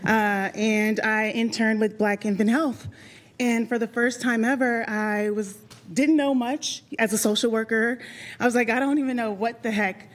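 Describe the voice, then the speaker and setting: A woman from Liberia giving a lively, heartfelt public comment at a meeting podium. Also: a little high, normal speed. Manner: lively and heartfelt, laughs between thoughts.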